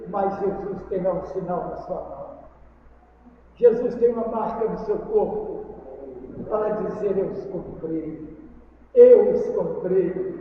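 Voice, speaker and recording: A Brazilian man preaches emphatically, repeating key phrases. His voice is pitched extremely high. It rings through a very echoey hall and sounds dull, as if captured by a lo-fi microphone. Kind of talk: sermon to a congregation. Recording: echoey hall from far off, dull, faint noise.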